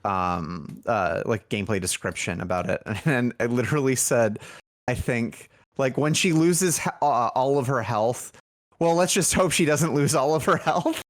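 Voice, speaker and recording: A man's voice: medium pitch, normal speed. A man chatting casually as an interview guest, thinking aloud in a rambling way. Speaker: indie game developer, podcast guest.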